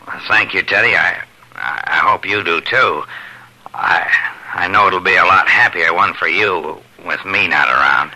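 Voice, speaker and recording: An actor playing an awkward, polite, self-effacing man in a 1950s radio drama, speaking haltingly on a vintage recording. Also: sits low, bright, forceful tone, full character voice, low-quality recording. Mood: downcast, polite. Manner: hesitant, halting.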